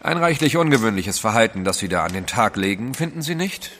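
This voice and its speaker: An actor in a German audio drama playing a cool, sceptical gentleman adventurer with a formal, haughty manner. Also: lowish voice, big pitch swings, a little fast, a little hiss or hum.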